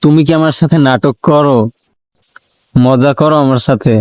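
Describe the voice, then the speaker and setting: Teasing Bangladeshi man, clear dry voice. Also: medium pitch, fairly even intonation, normal speed, a little mellow, dry recording. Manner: teasing, insistent questioning. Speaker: prank call host. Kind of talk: casual teasing phone chat in colloquial Bengali.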